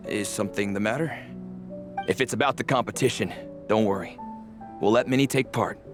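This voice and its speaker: A man's voice: fairly low pitch, brisk, music in the background, close to an everyday voice. An actor playing a practical, stern father in a video game, with a formal and firm way of talking.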